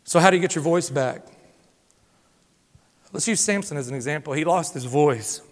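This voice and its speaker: Preacher guy, high ringing voice, big church echo, gets loud then drops to a hush. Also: normal speed. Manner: fervent preaching, swings from loud exhortation to hushed confession. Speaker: lead pastor. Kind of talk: impassioned sermon. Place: church sanctuary. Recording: long hall reverb from a church sanctuary.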